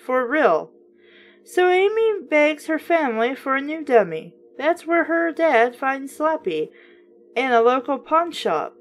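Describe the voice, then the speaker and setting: A woman's voice: high-pitched, very animated, measured pace, soft background music. A woman reading a children's book aloud in a casual way, breaking off for chatty, wry, skeptical commentary.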